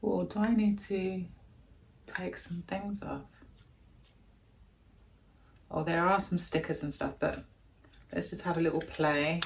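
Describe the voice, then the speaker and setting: A woman with a deep voice, chatting casually and gushing as she unboxes a gadget in a vlog at home. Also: fairly even intonation, slow, creaky, long pauses, slight background noise, poor audio. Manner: gushing, chatty, thinking aloud. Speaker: vlogger.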